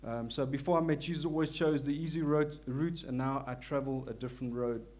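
A man's voice: medium pitch, fairly even intonation. A man with a South African accent gives a sermon to a church congregation. He speaks earnestly and humbly, stopping and restarting often as he feels for his words. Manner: prays softly and slowly. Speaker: lay preacher.

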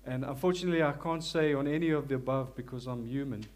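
A man's voice: mid-range voice, steady tone. A man with a South African accent gives a sermon to a church congregation. He speaks earnestly and humbly, stopping and restarting often as he feels for his words. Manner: prays softly and slowly. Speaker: lay preacher.

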